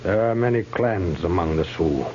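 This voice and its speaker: An actor playing a grave, weary old Sioux chief who speaks in solemn, measured, terse phrases in a 1950s radio drama. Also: male voice, low voice, expressive, darkish tone. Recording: muffled, hissy 1950s radio recording.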